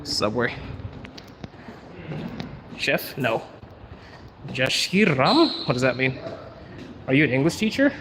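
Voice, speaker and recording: A man from Zimbabwe chatting casually on a livestream, answering viewers' questions in a relaxed way. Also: mid-range voice, takes it slowly, pauses often. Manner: relaxed, chatty, says bro and dude. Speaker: vlogger answering live chat. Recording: street noise with passing cars.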